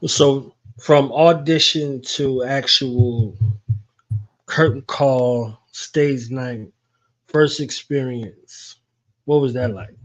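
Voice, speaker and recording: A man's voice: mid-range voice, steady tone, extremely slow. A man hosting a radio show, asking questions in a casual, conversational way. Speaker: radio show host, interviewer. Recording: dull, lo-fi sound.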